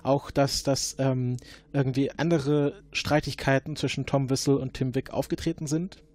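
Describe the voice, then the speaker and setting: A man playing a formal agent interrogating a witness in an improvised audio drama, halting and full of fillers. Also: mid-range voice, flat delivery, darkish tone, lightly acted. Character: formal male time-travel agent questioning a witness.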